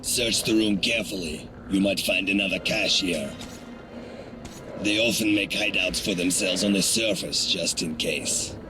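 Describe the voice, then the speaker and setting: An actor playing a cynical, foul-mouthed smuggler guide in a post-apocalyptic video game, sardonic, blunt and bossy. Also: male voice, low voice, unhurried, rough recording. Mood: wry, watchful. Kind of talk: dramatic video game acting. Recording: loud music bed under voice.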